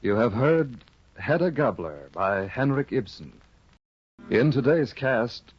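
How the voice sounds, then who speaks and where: A male radio announcer reading the cast list in a measured, formal way. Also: mid-range voice, no echo. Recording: dry, dull 1950 radio broadcast.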